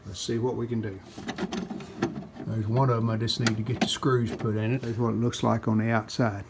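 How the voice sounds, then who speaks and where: A man giving casual, plainspoken hands-on narration as the host of a repair video, unscripted and pausing mid-sentence. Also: lowish voice, level tone. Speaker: host of a radio restoration video channel. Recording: clear background noise, room ambience.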